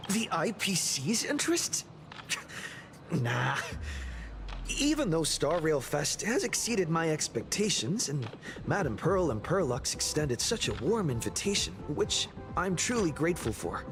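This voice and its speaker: A man's voice: a little high, sing-song, unhurried. A man playing an easygoing, modest young adventurer hero who answers thoughtfully and diplomatically in a video game. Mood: modest, earnest. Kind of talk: scripted game voice acting. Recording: music under the voice.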